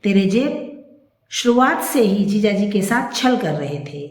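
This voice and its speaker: A woman's voice: medium pitch, expressive, unhurried, lightly acted. A woman voicing a protective, indignant female friend who speaks in a serious, emphatic, scolding way. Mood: grave, reproachful. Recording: echoey room.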